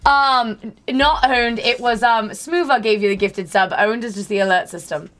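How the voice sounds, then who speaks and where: A hoarse woman streamer chatting casually with her viewers in a put-on Southern US accent, greeting and thanking them by name. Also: fairly high pitch, normal speed, a bit bright. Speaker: livestreamer. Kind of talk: casual livestream chat with viewers.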